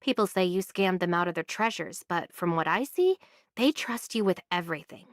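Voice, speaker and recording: A woman voicing a calm, curious young adventurer in an anime video game, speaking in a measured, sincere way. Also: on the low side, no room sound, studio quality, natural voice. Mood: thoughtful.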